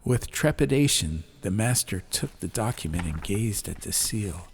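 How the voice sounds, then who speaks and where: A man narrating a scripted audiobook in a measured, dramatic storytelling manner. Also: on the low side, expressive, slight background noise. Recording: ambient sound effects behind. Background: faint fantasy ambience.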